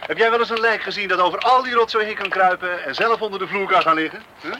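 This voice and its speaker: Actor as earnest, high-voiced detective, noisy recording. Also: male voice, fast, lightly acted. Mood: businesslike. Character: earnest male police detective, quietly persistent. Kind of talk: naturalistic Dutch radio play acting. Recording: noisy radio play recording.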